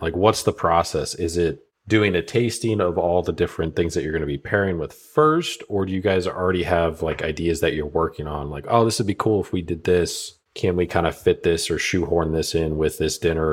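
A man hosting a podcast, asking long, rambling questions full of "you know"s in a casual way. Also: low voice. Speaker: podcast host.

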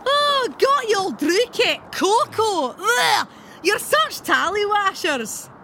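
A woman's voice: very high voice, very animated, takes it slowly, pushed and bright, a little hiss or hum, exaggerated voice. A woman playing an ancient, foul-mouthed, hard-drinking female Scottish lake monster in an audio comedy, brash and full of Scots slang. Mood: scornful. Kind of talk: audio fiction comedy acting. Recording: water and boat ambience behind.

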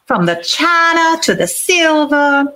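Genteel plantation matriarch, put on by a woman: slow, swooping delivery, theatrical, amateur stage reading. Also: sits high, sing-song, bright, forceful tone, pitched above the performer's own voice, heavily acted. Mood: grand. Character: grand, gracious wealthy Southern wife and mother. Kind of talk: amateur dramatic reading at a launch party.

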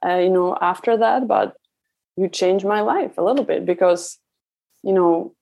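A woman's voice: on the low side, a little slow, creaky, warm tone. A woman from the Czech Republic speaking as an interview guest, telling personal stories in a conversational way. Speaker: parenting coach, interview guest.

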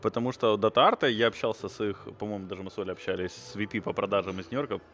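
A man's voice: fairly low pitch, rapid-fire, a bit bright. A man hosting an interview podcast, asking hesitant questions as he searches for words. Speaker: podcast host. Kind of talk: casual interview chat.

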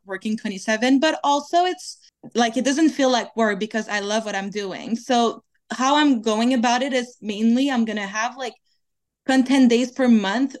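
A young woman from Quebec whose first language is French, speaking English with a Quebec French accent as an interview guest, chatting casually with lots of "like" and "kinda". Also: fairly high pitch. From Quebec, Canada. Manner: chatty, many "like" and "kinda" fillers. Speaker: guest, dancer.

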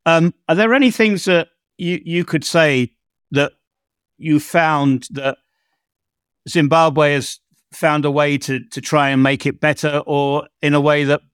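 A man's voice: fairly high pitch, unhurried, a bit bright, clear, resonant tone, no room sound, clean studio sound. A man from England hosting a podcast interview, asking long rambling questions and repeating words as he frames them. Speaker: podcast host. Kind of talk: conversational interview.

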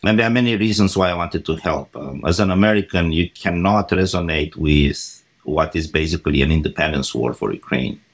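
A man from Romania in his forties speaks as an interview guest. His voice sits very low, and he lays out his reasons in a measured, deliberate way. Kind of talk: interview answers.

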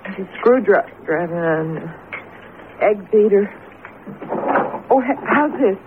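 A woman's voice: mid-range voice, lively intonation, takes it slowly. An actress in a radio drama playing an anxious, devoted woman with a hesitant, pleading, emotive manner. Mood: worried. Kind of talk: vintage radio drama acting. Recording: tinny, narrowband old radio broadcast, noisy.

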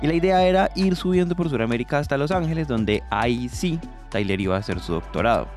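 Tipo colombiano narrando el podcast, rápido y animado, con música leve de fondo. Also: male voice, mid-range voice, lively intonation, a little mellow, soft background music. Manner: quick, upbeat storytelling. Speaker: podcast co-host.

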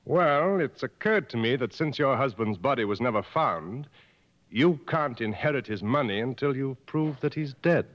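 An actor playing a blunt, world-weary, middle-aged safari guide in a 1950s radio drama, speaking frankly and dryly. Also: male voice, mid-range voice, animated, close to an everyday voice. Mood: grave, frank. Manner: frank, measured, dry. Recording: muffled old radio broadcast, long reverb.

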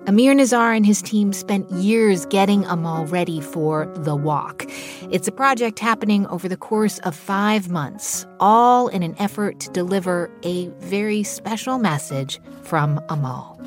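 A woman's voice: mid-range voice, expressive, measured pace, soft background music. A woman hosting a radio show, narrating from a script. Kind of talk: scripted narration with some interviewing.

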